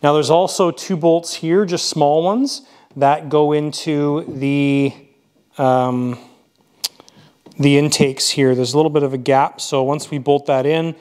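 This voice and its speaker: A man's voice: on the high side, fairly even intonation, a little slow, a little mellow. A man casually narrating his model aircraft build in a workshop, thinking aloud with frequent fillers.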